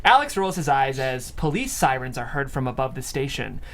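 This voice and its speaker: A narrator reading stage directions aloud at a comedy table read in an amused, animated way. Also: medium pitch, normal speed.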